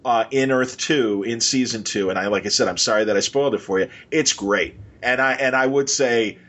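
A man's voice: mid-range voice, fairly quick, a bit bright. A man hosting a podcast, chatting casually and enthusiastically in an informal, rambling way. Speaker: podcast host.

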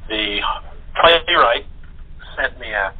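A man chatting as an interview guest in halting phrases, over a tinny, muffled phone line. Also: medium pitch, lively intonation, breathy edge. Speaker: voice actor guest. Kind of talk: casual interview chat.

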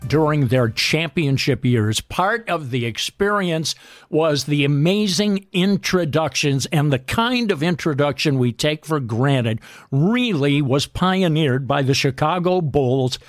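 Measured male sports radio host. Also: a little high, animated, no room sound, studio quality. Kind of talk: radio sports talk with scripted intros.

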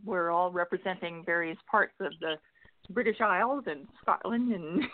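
A woman's voice: on the low side, moderate pace, no room sound. A woman guest-hosting a radio interview, upbeat, reading introductions and asking questions. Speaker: guest host. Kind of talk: interview host. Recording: tinny phone line, dry.

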